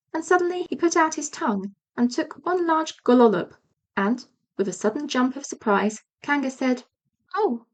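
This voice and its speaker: A woman reading a children's storybook aloud as audiobook narration. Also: on the high side. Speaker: audiobook reader.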